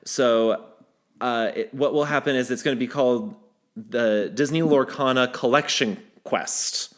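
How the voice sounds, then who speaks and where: A man's voice: medium pitch, a little slow. Chatty man reading out theme park news. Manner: conversational, teasing, trails off and restarts. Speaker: podcast host. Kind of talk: casual news chat.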